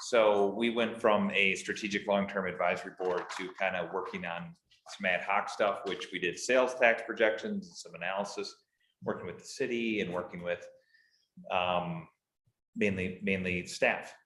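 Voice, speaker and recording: A man with a very low, loud voice gives an easygoing briefing to a city council. He talks in a relaxed, self-deprecating way. The sound carries the echo of a large council chamber. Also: moderate pace. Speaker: advisory board member presenting to council. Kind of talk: informal briefing at a public meeting.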